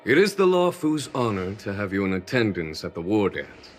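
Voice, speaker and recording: An actor playing a calm, shrewd male general who speaks formally. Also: lowish voice, a little hiss or hum. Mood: composed. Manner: measured, formal. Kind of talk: game voice acting.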